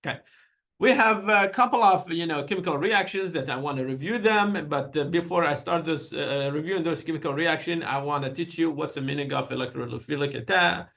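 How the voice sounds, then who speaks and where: A man's voice: fairly high pitch, a bit bright. A man whose first language is Persian, giving a university chemistry lecture in a chatty way, with many fillers and small grammar slips.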